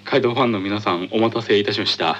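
A man's voice: lowish voice, fast, fairly bright tone. A man co-hosting a radio show in casual, polite, bashful banter, on muffled audio. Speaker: radio show co-host and voice actor. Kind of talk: casual chat between radio hosts.

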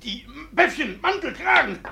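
Flustered crooked village judge, old german radio drama, gravelly, swings all over, hissy recording. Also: male voice, very high voice, sing-song, moderate pace, sharp tone, exaggerated voice. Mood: frantic barking. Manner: theatrical, blustering, cursing exclamations. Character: blustering, devious, flustered male village judge. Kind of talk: 1950s German radio play acting.

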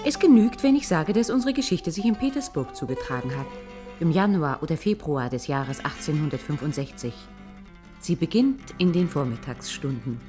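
A woman with a low voice narrates a radio drama. Her delivery is measured and wry, yet very varied in pitch, with music clearly behind her. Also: big pitch swings. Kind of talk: expressive scripted radio play narration.

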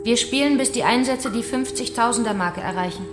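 A high-voiced female kidnapper played by a woman from a radio crime drama, coolly mocking and matter-of-fact as she runs her betting game. Her voice echoes in the room over clear music. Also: brisk, close to an everyday voice. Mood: cool, explanatory. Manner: controlled, taunting, matter-of-fact. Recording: echoing room with music behind.

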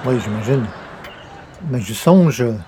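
A man's voice: mid-range voice, lively intonation, unhurried, dark tone, a little hiss or hum, natural voice. A man playing a genial, bumbling newspaper columnist in a radio comedy, hesitant and coaxing. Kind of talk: old-time radio comedy acting.

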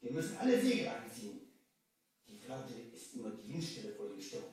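A man's voice: very animated, brisk, close to an everyday voice. A man playing a grave, commanding, alarmed ship's captain in a 1970s children's radio play. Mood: grave, foreboding. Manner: dramatic, swinging from low warnings to shouted orders. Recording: echoey, distant vinyl transfer with loud music.

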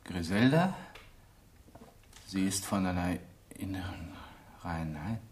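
An actor in a German radio play playing a shy, lovesick assistant clergyman who speaks in a halting, faltering way. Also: deep voice, animated. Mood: wistful, drowsy.

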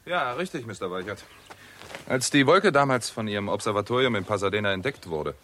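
Courteous astronomer: low register, measured and exact, vintage radio drama. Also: male voice, lively intonation, fairly bright tone. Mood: serious, exact. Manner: precise, measured, polite. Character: formal, courteous male astronomer reporting findings. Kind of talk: 1960s German radio play acting.

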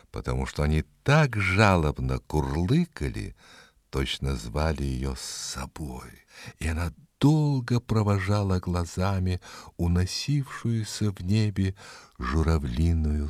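A man narrating a fairy tale expressively, in a measured way with dramatic pauses. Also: low voice, dry recording, clean studio sound. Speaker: storyteller. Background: music.

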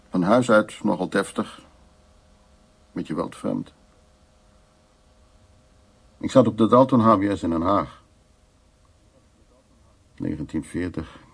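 A husky, gravelly old storyteller. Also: male voice, fairly low pitch, heavily acted. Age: 70s or older. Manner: unhurried, fragmentary, reminiscing storytelling. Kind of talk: naturalistic radio drama monologue and dialogue.

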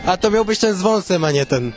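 A man's voice: sits high, lively intonation, fairly quick, over music. A man co-hosting a podcast with casual, joking banter, sometimes lecturing in mock-scholarly fashion. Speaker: podcast co-host. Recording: noisy outdoor crowd behind.

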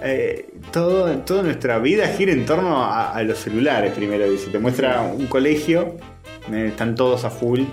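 A man's voice: medium pitch, lively intonation, normal speed. Argentine man chatting in an echoey room. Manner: slangy, joking. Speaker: podcast co-host. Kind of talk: casual chat about films. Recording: roomy echo, faint music underneath.

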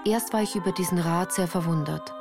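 A woman's voice: fairly low pitch, brisk, over music. A woman reading scripted first-person literary narration for a radio play in a composed, articulate storytelling manner.

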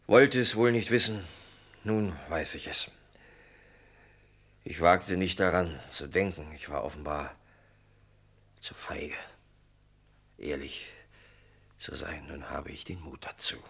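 An actor playing a guilt-struck salesman in a radio play, confessing earnestly in a halting, often hushed way. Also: low-pitched, exaggerated voice. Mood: hushed, ashamed. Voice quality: husky. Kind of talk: German radio play acting.

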